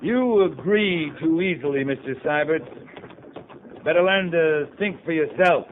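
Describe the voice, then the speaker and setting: An American actor playing a stern, demanding cavalry captain in a 1950s radio drama, giving clipped orders and challenging questions. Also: male voice, a little high, a little slow, narrow, tinny audio, lightly acted. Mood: testy, challenging. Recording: noisy, band-limited 1950s radio recording.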